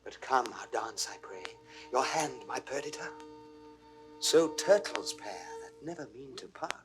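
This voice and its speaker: A man playing an ardent, devoted young prince in classical Shakespearean verse, with a British RP accent and tender, deliberate phrasing. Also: medium pitch, expressive, over music, natural voice, poor audio. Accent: British Received Pronunciation.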